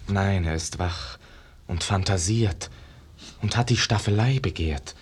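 Low, husky, sorrowful male disciple. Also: measured pace. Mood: grave, sorrowful. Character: sorrowful, tender male disciple bringing grave news. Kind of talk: 1950s German radio verse drama.

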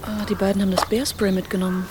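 An actress playing a hurt, reproachful wife on a wilderness trip in a naturalistic radio drama, with a probing, sarcastic manner. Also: female voice, mid-range voice, flat delivery, quick pace, loud music behind the voice, lightly acted. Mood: wistful, subdued.

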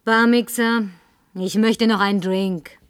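A woman's voice: mid-range voice, fairly even intonation, takes it slowly, no echo, studio quality, close to an everyday voice. A woman dubbing a lonely, tipsy, flirtatious woman, talking in a coaxing, whispery way that at times turns demanding. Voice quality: breathy, whispery. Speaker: advertising agency woman. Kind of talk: German film dub.

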